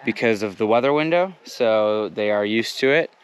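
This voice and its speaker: A man vlogging casually on a hiking trip, chatting in a relaxed way with plenty of fillers, recorded outdoors at a campsite. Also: fairly low pitch, moderate pace, slight background noise. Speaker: vlogger, thru-hiker. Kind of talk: casual vlog chat. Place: outdoors at a mountain tent site.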